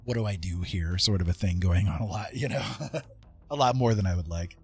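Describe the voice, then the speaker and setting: Deep, husky-voiced man rambling casually. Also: fairly quick, a little mellow. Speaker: streamer, digital painter. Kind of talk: casual livestream chat while painting. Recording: music in the background. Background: music.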